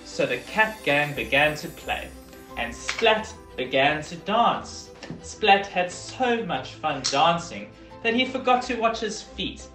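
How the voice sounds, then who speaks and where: Someone reading a children's story aloud in an expressive, deliberate way, leaning into the sound words. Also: medium pitch, faint music behind. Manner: animated, deliberate, emphatic on dialogue and sound words. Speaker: volunteer storybook reader. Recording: roomy echo.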